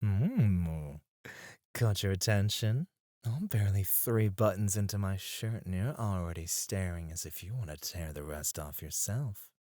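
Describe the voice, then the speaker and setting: A man acting a flirtatious, self-assured male stripper in an ASMR roleplay, suave and teasing, with breathy asides, close on the microphone. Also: deep voice, expressive, unhurried, dark tone, no room sound. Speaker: ASMR voice actor.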